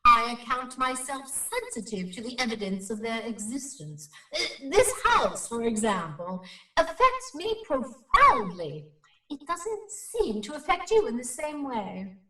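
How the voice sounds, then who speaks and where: An actress playing an earnest, solemn paranormal investigator in a radio drama, speaking formally and with portentous foreboding. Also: female voice, a little high, sing-song, bright, forceful tone, recorded from a distance, exaggerated voice. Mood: earnest, dignified. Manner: formal, grave, portentous, deliberate wording. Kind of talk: melodramatic radio drama acting. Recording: roomy echo, off the microphone.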